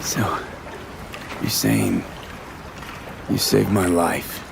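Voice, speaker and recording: An actor voicing a calm, curious male mercenary with a dryly teasing manner in a video game. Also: sits low, extremely slow, vocal fry, noisy background, exaggerated voice. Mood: dry, weary.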